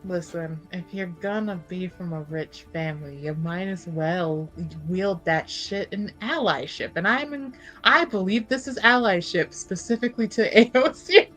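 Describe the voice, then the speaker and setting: A woman's voice: fairly low pitch, moderate pace, hardly any pauses, fairly bright tone, faint music behind, poor audio. A woman playing a tabletop roleplaying game, chatting and narrating her character's actions in a playful, slangy, casual way.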